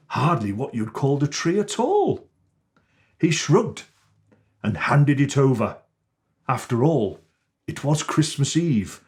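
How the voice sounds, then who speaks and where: Slow, animated male storyteller. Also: medium pitch. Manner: theatrical, deliberate, expressive phrasing. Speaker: storyteller playing Father Christmas. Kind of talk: children's storybook reading.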